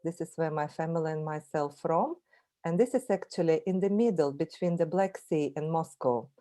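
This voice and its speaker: A woman with a Russian accent speaks in a low, mellow voice over a video call. She is a native Russian speaker giving a presentation, friendly and chatty, with many fillers and restarts. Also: normal speed. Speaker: guest speaker, language teacher. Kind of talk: online presentation.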